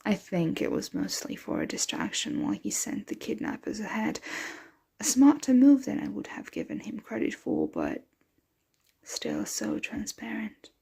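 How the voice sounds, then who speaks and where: A woman voicing a possessive, protective, motherly vampire in an intimate ASMR roleplay, breathy and often dropping into a whisper. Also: medium pitch, huge pitch swings, dark tone, exaggerated voice. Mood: calm, reassuring. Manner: slow, intimate, soothing address to a dear one.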